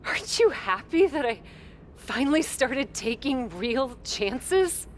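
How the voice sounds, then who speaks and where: A woman's voice: high-pitched, very animated, slow pace, a little hiss or hum, full character voice. An actress playing a headstrong young female pro wrestler in a video game cutscene, wry and sincere. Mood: sincere, tender.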